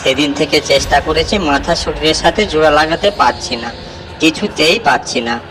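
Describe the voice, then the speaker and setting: A man's voice: fairly high pitch, moderate pace, background noise, natural voice. Man voicing a menacing headless ghost. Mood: aggrieved, menacing. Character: headless bloodthirsty ghost craving hot blood like tea. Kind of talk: horror audio story acting.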